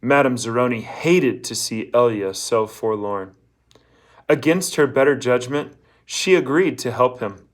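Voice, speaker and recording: A man's voice: medium pitch, measured pace. A man narrating a children's novel, reading aloud from the text as a storyteller. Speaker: audiobook reader.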